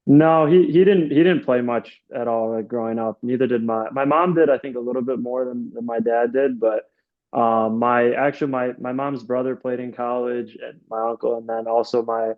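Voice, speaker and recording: A man's voice: medium pitch, fairly even intonation, normal speed, phone-quality sound. A young man from upstate New York, a soccer coach, answering interview questions in a casual, rambling way full of fillers and restarts. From Rochester, New York.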